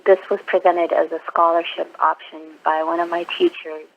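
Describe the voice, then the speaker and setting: A woman in her twenties, from Iraq, speaks earnestly with frequent pauses and ums. Her voice is gravelly and stays flat in pitch. The sound is dull and lo-fi, like a phone line. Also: slight background noise. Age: 20s. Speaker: interview guest, nurse. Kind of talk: phone interview.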